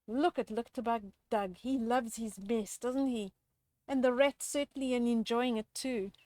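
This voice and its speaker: A woman storyteller reading a children's picture book aloud with expressive, drawn-out emphasis and chatty questions to young listeners. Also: a little high, no echo.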